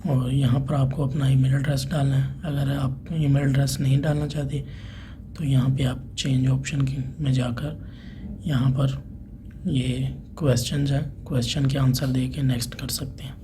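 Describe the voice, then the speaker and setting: Man with flat, even instructional delivery. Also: medium pitch, normal speed. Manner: plain, instructional, unscripted. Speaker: installer presenting a tutorial. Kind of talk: step-by-step hands-on tech tutorial narration. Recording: clearly noisy room ambience.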